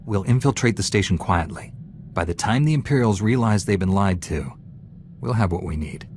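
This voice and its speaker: A man's voice: on the low side, animated, normal speed, darkish tone, a little hiss or hum, natural voice. An actor playing a principled young male Jedi knight in a video game, earnest and resolute. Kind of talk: dramatic video game dialogue.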